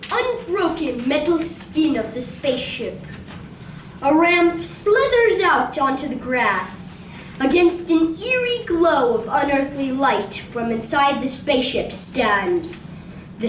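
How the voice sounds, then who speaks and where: Woman playing awestruck sci-fi narrator, theatrical, sweeping pitch, suspenseful pauses, distant roomy live recording. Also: sing-song, exaggerated voice. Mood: solemn wonder. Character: grave, awestruck female narrator of a science fiction story. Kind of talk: dramatic scripted radio play narration. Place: community room of a library, live performance. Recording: roomy live recording from afar, faint noise.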